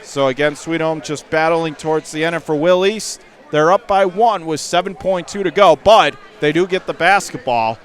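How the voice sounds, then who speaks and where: A man doing live radio play-by-play of a high school basketball game from the gym, emphatically restating the score and clock. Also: normal speed. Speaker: play-by-play sports announcer.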